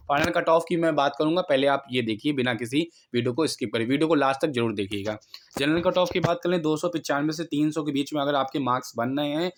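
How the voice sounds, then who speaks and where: Higher-pitched man explaining informally. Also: fairly bright tone. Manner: repetitive, instructional, mixes in English words. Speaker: exam analysis presenter, teacher. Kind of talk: informal explainer addressing students.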